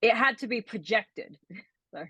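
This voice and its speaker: A woman's voice: a little high, expressive, unhurried, bright, forceful tone, husky, no room sound. A young woman co-hosting a podcast, chatting casually and explaining things at length with many fillers over a video call. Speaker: podcast co-host and actor. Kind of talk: casual chat.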